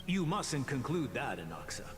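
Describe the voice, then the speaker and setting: Game role: kindly, grave old male sage, played by a man, theatrical and very expressive, music clearly behind. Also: medium pitch, normal speed, close to an everyday voice. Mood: gentle, evasive. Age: older. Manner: solemn, formal, sighing, theatrical. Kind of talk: scripted game voice acting. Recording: long reverb under loud game music.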